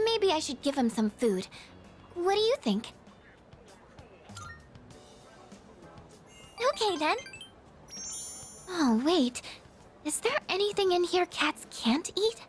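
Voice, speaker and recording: A woman playing a shy, gentle, insecure teenage girl in a video game, with a hesitant, apologetic, stammering way of talking. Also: extremely high pitch, big pitch swings, a little slow, long pauses, soft background music, heavily acted. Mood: sweetly delighted. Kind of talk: video game character dialogue voice acting.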